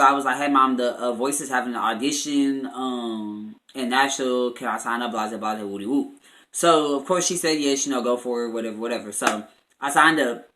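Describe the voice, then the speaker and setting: Bright-voiced young American man, chatty storyteller. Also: mid-range voice. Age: 20s. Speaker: YouTube vlogger. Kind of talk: casual storytime chat to viewers.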